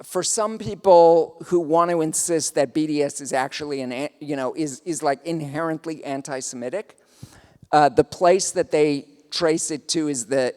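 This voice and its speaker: A man's voice: a little high, a little mellow, clean tone, long reverb tail. A man with a South African accent, giving a lecture and answering audience questions, speaking thoughtfully with many fillers and restarts.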